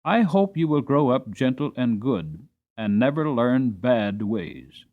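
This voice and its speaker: A gentle, dignified old mother mare voiced by a man speaks in a storybook with earnest, measured care. The pitch moves expressively from word to word, and the recording is slightly dull. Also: very animated. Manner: measured, earnest, admonishing delivery. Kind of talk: dramatic storybook reading.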